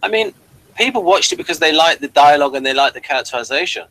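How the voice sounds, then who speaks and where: A man's voice: on the high side, animated, fast talker, pushed and bright, dry recording, poor audio. A man hosting a casual, conversational discussion as a podcaster. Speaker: podcast host. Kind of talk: casual discussion of TV and personality types.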